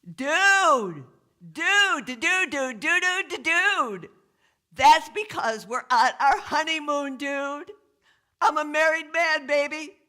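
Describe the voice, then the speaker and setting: A man puts on a stoned hippie musician from a comic story, cheerful and goofy. The voice sits high and bright, with sing-song, exclamatory phrasing. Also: animated, takes it slowly, exaggerated voice. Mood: giddy, excited.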